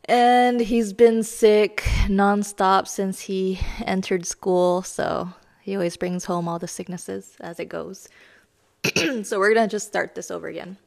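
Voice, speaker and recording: A woman in her thirties hosting a self-help lesson podcast, her voice raspy as she gets over being sick, talking in a chatty, self-deprecating, explanatory way. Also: medium pitch, fairly even intonation, measured pace, a little mellow. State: raspy voice from recent illness. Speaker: podcast host.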